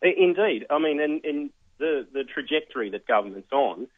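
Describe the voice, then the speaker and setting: An Australian man giving measured, analytical explanations as an expert guest in a radio interview. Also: fairly high pitch, animated, dry recording. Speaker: think tank research fellow, interview guest. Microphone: telephone. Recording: tinny narrow phone line.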